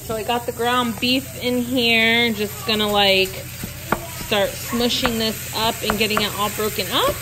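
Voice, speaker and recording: A woman's voice: on the high side, fairly even intonation, a little slow, background noise. Chatty woman vlogging while she cooks. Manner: chatty, upbeat, talks while cooking. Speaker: family vlogger. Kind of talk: casual cook-with-me vlog. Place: home kitchen, indoors. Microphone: handheld phone camera at arm's length.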